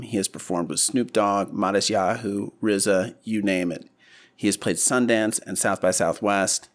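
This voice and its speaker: A man speaking as an informal, curious podcast host. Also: low-pitched. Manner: informal, curious, interjecting short questions. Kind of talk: casual interview with scripted introduction.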